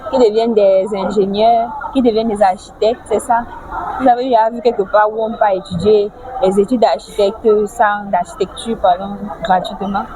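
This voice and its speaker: A woman's voice: medium pitch, steady tone, normal speed. A woman from French-speaking Africa giving a casual advice vlog, lecturing with rhetorical questions and frequent restarts. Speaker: vlogger. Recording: clear background noise, vehicles.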